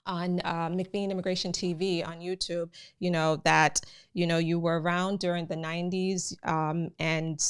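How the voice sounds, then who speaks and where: A woman's voice: fairly low pitch, flat delivery, normal speed, clean tone. A woman with a Jamaican accent, hosting a live immigration news channel and talking casually to her viewers, often calling them guys. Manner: chatty, addresses viewers as guys, many fillers.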